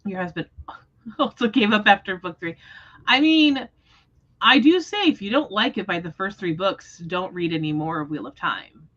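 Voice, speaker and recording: A woman's voice: mid-range voice, expressive, moderate pace, fairly bright tone, highs cut off. A woman hosting a book readalong livestream, talking casually about books. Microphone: livestream microphone.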